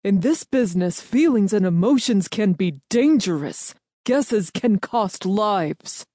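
A woman's voice: fairly low pitch, expressive, moderate pace, warm tone, no room sound, studio quality. A woman voicing a stern, commanding older male general with a curt, withering manner in an audiobook. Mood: angry, withering.

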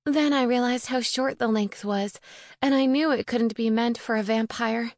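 Woman voicing a frightened young witch, higher pitched. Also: fairly even intonation, normal speed, darkish tone, no echo, clean studio sound, natural voice. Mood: shaken. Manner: earnest, anxious.

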